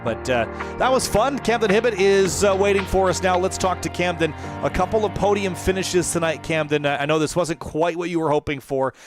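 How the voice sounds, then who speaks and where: A man hosting a sim racing broadcast, running through race results in a steady, conversational way before interviewing drivers. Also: high voice, a little fast, music in the background.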